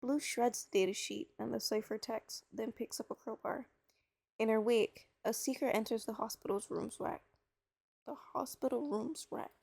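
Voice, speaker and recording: A woman's voice: a little high, steady tone, normal speed, vocal fry, darkish tone, no room sound. A woman in a casual, playful, self-deprecating manner, as in an informal read-aloud recording. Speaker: reader on a personal audio series. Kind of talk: casual chat and reading a novel aloud.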